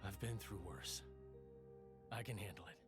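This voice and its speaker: An actor playing a stoic, weary, protective male warrior in a game, restrained and measured. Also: on the low side, natural voice. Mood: weary, reflective. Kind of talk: dramatic game cutscene dialogue. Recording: music under the dialogue.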